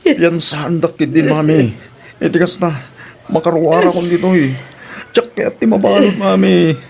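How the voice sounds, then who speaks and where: A man with a Filipino accent playing a wayward, wheedling young student son with a creaky voice in an audio drama. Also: a little high, fairly even intonation, normal speed, a little mellow. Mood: pleading. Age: young adult. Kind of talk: Ilocano radio-style drama acting.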